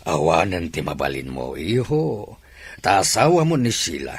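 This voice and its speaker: An actor playing a father counseling his son gravely. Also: deep voice, expressive, a little slow, no room sound, exaggerated voice.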